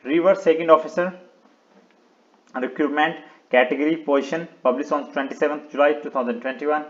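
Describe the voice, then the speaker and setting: A man presenting an unscripted step-by-step website walkthrough in short, halting instructional phrases, often repeating words. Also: a little high, steady tone, fairly bright tone, lo-fi sound. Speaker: video tutorial presenter.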